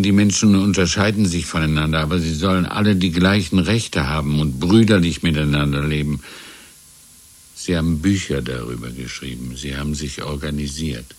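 An old shopkeeper in a radio play from the 1970s, with a low voice. He muses haltingly and wistfully, repeats himself and stays deferential. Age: older. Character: wistful, deferential old shopkeeper reminiscing about lost freedom. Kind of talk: 1970s German radio drama acting.

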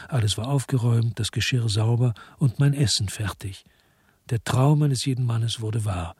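A man's voice: mid-range voice, a little mellow, breathy edge, no room sound. An actor playing a world-weary crime investigator in a radio drama, brooding and laconic as he narrates and talks.

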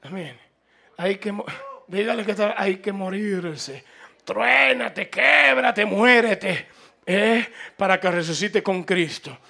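Latin american guy preaching, high forceful voice, repeats stuff hard, keeps pushing people to answer. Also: slow pace, vocal fry. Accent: Latin American Spanish. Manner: emphatic, repetitive, prodding listeners to respond. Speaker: preacher taking questions from congregation. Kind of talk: impassioned informal sermon.

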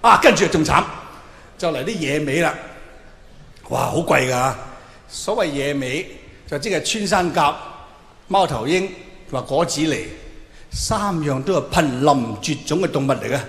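A man's voice: fairly high pitch, animated, moderate pace, long pauses, fairly bright tone. A man with a Hong Kong Cantonese accent doing theatrical, exclamatory stand-up storytelling, acting out dialogue for a live audience. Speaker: stand-up comedian. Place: large hall with live audience. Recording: echoey hall with noticeable reverb, faint noise.

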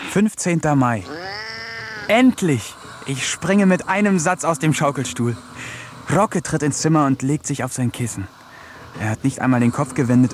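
An actor narrating a radio play as a poet, reading diary-like entries in a wry, introspective way. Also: male voice, medium pitch, a little hiss or hum, close to an everyday voice. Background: music.

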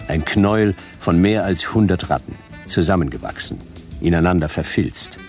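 Very deep, gravelly, terse detective. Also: male voice, moderate pace. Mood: tense, awed. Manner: terse, deadpan. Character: laconic, weary hard-boiled detective man narrating his adventure. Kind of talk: hard-boiled radio play narration and dialogue. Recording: radio play over loud backing music.

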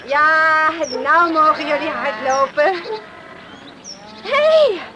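A woman's voice: high-pitched, big pitch swings, takes it slowly, heavy background noise, exaggerated voice. A woman acting a young, plainspoken country girl who herds animals in a Dutch radio play. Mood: urging, cheerful.

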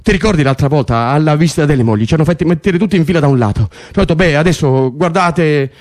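An actor playing an excitable, wry political prisoner in an Italian radio drama, stammering, repeating himself and swearing. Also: male voice, on the high side, fast talker, lightly acted. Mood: wary, confiding. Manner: breathless repetitions, stammering, swearing. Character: excitable male political prisoner, agitated, wry, foul-mouthed. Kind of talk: Italian radio drama acting, conversational and intense.

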